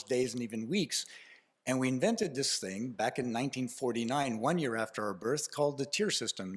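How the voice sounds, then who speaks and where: Canadian man giving a chatty conference speech. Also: mid-range voice. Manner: informal and storytelling, with frequent restarts and fillers. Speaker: keynote speaker at a conference.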